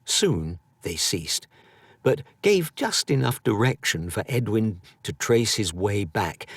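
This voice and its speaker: A British man reads a story as an audiobook narrator. His voice is fairly low and very expressive in pitch, with a slow, deliberate pace. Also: no echo.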